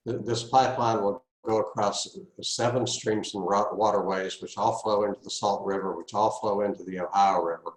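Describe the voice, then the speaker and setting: Low-voiced host in an echoey room. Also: male voice, steady tone, moderate pace, few pauses. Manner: wry, sarcastic questions. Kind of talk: talk-show interviewing.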